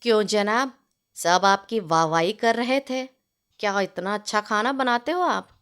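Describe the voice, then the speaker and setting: A woman plays a village landlord from a storybook tale, a man who loves his food and bosses everyone around. He speaks in slow, measured phrases with a demanding, curt edge. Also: mid-range voice, fairly bright tone, smooth, pitched below the performer's own voice, natural voice. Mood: curt, commanding. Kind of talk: storybook character voice in narrated tale.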